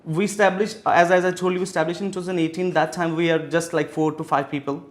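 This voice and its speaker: A man's voice: on the high side, level tone, fast talker. A man from Pakistan in his thirties, a business guest answering interview questions with many fillers and restarts. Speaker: real estate executive guest.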